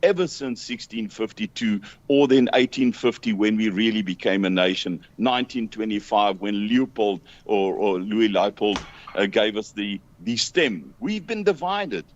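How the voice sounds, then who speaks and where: A South African man talking earnestly as an interview guest, emphatic but halting in his delivery. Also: lowish voice, animated. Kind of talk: earnest conversational talk. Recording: dull lo-fi call audio.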